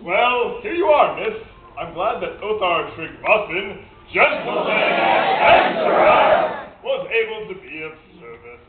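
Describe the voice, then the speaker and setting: Man as high-pitched pompous gentleman adventurer. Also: very animated, a little slow, over a noisy crowd, heavy background noise. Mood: pompous, hearty. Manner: pompous, declamatory. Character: pompous, jovial, dim gentleman adventurer hero. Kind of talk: live comic radio play acting. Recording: echoey, tinny, distant live stage recording.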